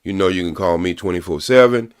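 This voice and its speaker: A man's voice: low voice, brisk, smooth, no echo, clean studio sound. A man speaking on a live-stream panel call, earnest and long-winded as he makes his point. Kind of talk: casual live-stream debate.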